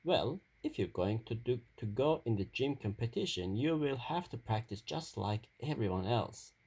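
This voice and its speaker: A man reading as a firm, creaky-voiced woman coach. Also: medium pitch, lively intonation, normal speed, darkish tone. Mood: understanding, firm. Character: female gym coach, thoughtful, understanding but firm. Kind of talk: children's storybook character reading.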